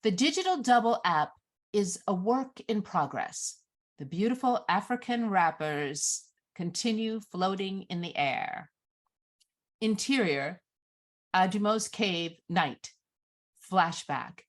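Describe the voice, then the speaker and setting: A woman reading stage directions as narrator at a live screenplay reading, in a measured, descriptive manner. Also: medium pitch, expressive.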